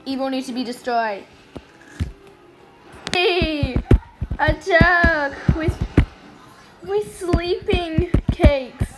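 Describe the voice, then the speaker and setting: A woman with a very high voice chats her way through a video game in a silly, playful manner. She takes her time, slipping between casual commentary and read-aloud roleplay. There is clear noise behind her. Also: drawn-out words, pauses often.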